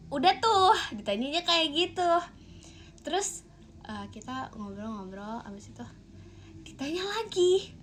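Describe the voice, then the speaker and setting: A young woman from Bogor telling a casual, animated storytime, rambling with fillers and laughs. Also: high voice, unhurried, long pauses, a little hiss or hum. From Bogor, Indonesia.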